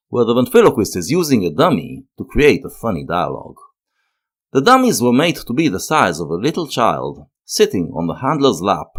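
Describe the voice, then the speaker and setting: A man delivers scripted, analytical narration. His voice sits fairly low, and his intonation swings widely as he goes. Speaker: video essay narrator.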